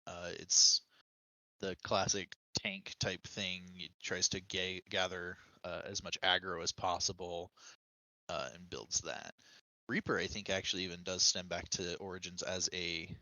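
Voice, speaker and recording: Low, very bright-edged man on a podcast. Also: little pitch movement, measured pace, no echo, poor audio. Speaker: podcast co-host explaining game lore. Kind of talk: casual podcast discussion of a video game.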